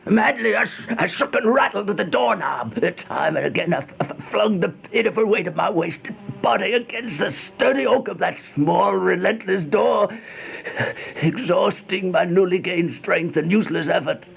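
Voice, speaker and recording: An actor playing a terrified, feverish man in an old-time radio horror monologue, stammering, gasping and melodramatic, with a creaky voice. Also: high voice, expressive, normal speed, heavily acted. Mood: desperate. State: panting, out of breath. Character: terrified, feverish man trapped with monstrous flies, horror narrator. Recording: dull, noisy old radio recording.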